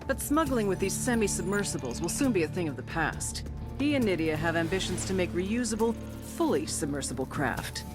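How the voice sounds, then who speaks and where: An actress playing a tough, sardonic intelligence agent briefing operatives in a game, wry and punchy, with cynical bite. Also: female voice, fairly low pitch, very animated, a little fast. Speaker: mission briefer. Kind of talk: scripted game cutscene narration. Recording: music bed under the voice.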